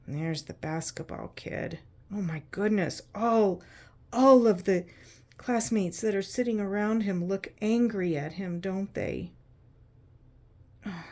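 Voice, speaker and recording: A woman narrating a picture book to children in an expressive, conversational way, using simple explanations and checking in with questions. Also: medium pitch, vocal fry. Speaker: children's read-aloud host.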